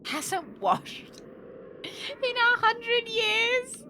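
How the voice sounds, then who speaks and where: A woman's voice: very high-pitched, expressive, very slow, pushed and bright, slight background noise. A British woman chatting casually and thinking aloud to viewers as she streams a video game. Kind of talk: casual chat while playing a game.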